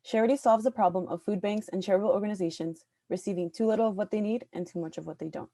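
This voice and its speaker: A woman's voice: medium pitch, warm tone, dry recording. A young woman from New York, originally born in Peru, reading a prepared project presentation as product manager. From born in Peru, raised in New York. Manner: reads quickly from a prepared script.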